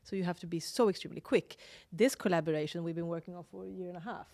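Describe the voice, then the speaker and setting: A woman's voice: lowish voice, fast talker, warm tone, no room sound. A middle-aged woman from Sweden, a designer and illustrator, talking in a casual interview with frequent restarts and hesitations. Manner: restless, many restarts and hesitations.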